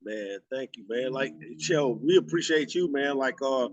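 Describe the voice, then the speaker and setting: A man's voice: medium pitch, animated, normal speed, dry recording, muffled recording. A man in his forties hosting a radio show, chatting loosely, joking and swearing freely. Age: 40s. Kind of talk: casual interview chat.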